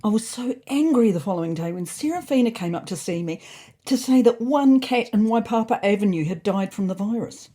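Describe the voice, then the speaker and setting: A woman with a slightly husky voice reading a scripted comic first-person story in an expressive, indignant, in-character manner. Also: mid-range voice, a little fast. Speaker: narrator of an audio story.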